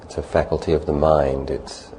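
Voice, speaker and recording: A man with a very low, soft-edged voice gives guided meditation instruction. He speaks calmly in short, unhurried phrases and leaves long pauses between them. There is faint ambient noise behind him. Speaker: meditation teacher.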